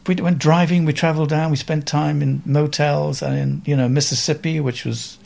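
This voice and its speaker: A man's voice: on the high side, level tone, vocal fry, darkish tone. Thoughtful older Welsh man recalling the past. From Wales. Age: around 70. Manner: thoughtful, halting, with many fillers and restarts. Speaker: photographer guest. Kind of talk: reflective interview storytelling.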